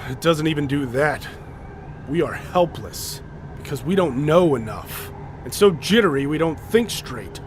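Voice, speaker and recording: An actor playing a commanding, methodical leader of a polar expedition in an audio drama, explaining things deliberately and with authority. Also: male voice, a little high, expressive, slight background noise. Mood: grim, reasoning.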